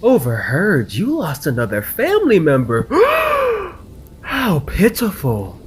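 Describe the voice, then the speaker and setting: A man's voice: high voice, huge pitch swings, slow pace, breathy edge, pitched above the performer's own voice, full character voice. A man voicing a sly, cheerful trickster god in a story, with theatrical, sneering mock pity. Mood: mocking, gleeful.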